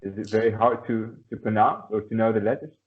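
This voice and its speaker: A man teaches one-on-one over a video call, encouraging and repeating himself as he guides the student. His voice is on the low side, and the audio is thin and tinny like a phone line. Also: steady tone, a little fast. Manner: friendly, encouraging, repeats words. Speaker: language teacher. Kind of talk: online lesson conversation.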